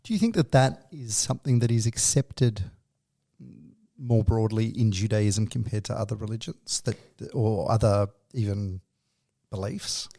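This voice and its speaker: A man's voice: fairly low pitch, measured pace, warm tone. A man hosting a podcast interview, asking long, loosely worded questions that circle and restart. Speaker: podcast host.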